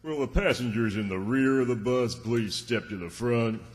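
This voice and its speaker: A brusque bus conductor played by a man in old-time radio comedy style. He calls out loudly at a medium pitch, with long hall reverb. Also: moderate pace, lightly acted.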